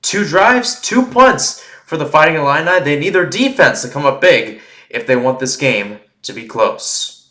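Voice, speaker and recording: A man giving live play-by-play football commentary in a halting way, often repeating his phrases. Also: a little high, lively intonation, pushed and bright. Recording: roomy, echoing sound.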